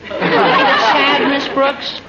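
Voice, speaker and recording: A noisy, muffled old radio comedy recording. an earnest American teenage boy, high and squeaky, with an excitable, naive gee-whiz delivery. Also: drawn-out words, a loud crowd, full character voice. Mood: earnest, grateful. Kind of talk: 1940s radio sitcom acting.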